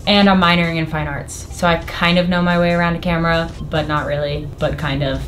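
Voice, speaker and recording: A woman's voice: low voice, fairly even intonation, fairly quick, a bit bright, a little hiss or hum. A young American woman, a student, reading out viewer questions and answering in a playful, giggly way. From United States. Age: young adult. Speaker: co-host girlfriend, student. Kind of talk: casual couple Q&A.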